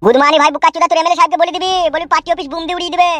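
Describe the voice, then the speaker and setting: Guy doing a mouthy young village troublemaker for a cartoon, rural west bengal accent, bright quick voice. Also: very high voice, level tone, no echo. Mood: threatening bluster. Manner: blustering, crude. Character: brash, foul-mouthed young village man, bomb-waving troublemaker. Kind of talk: comic cartoon dialogue.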